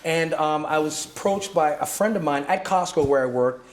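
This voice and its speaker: A man's voice: a little high, moderate pace. A middle-aged man from Jamaica, a martial arts teacher telling his story in an interview, acting out what others said in shifting voices, with many restarts. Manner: acts out other people's lines in changing voices, many restarts. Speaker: martial arts instructor and guest. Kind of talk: animated storytelling in conversation. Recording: echoey room.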